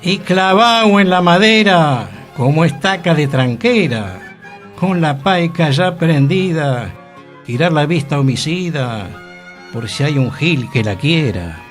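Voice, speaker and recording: A man's voice: on the high side, lively intonation, slow pace, faint music behind. A man with a Rioplatense accent reading a 1920s Buenos Aires drinker who declaims rhyming verse about tango in a raised voice. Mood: declaiming. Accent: Rioplatense Spanish.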